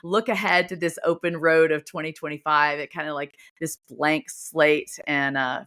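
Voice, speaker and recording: A man's voice: a little high, fairly even intonation, moderate pace, sharp tone, clear, resonant tone. A man hosting a podcast interview in a chatty, rambling way, full of fillers. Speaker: podcast host. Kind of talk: casual interview chat. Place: Chicago.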